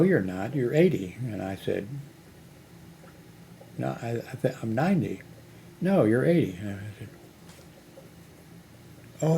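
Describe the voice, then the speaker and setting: A man's voice: lowish voice, dark tone, a little hiss or hum. A man in his eighties talking in a rambling personal monologue, full of fillers and restarts. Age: 80s. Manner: meandering, many fillers and restarts. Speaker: channel host.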